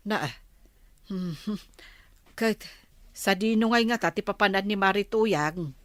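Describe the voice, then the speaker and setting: A woman's voice: medium pitch, slow pace, no echo, close to an everyday voice. A woman playing a teasing, wheedling village character in a radio drama. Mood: curious.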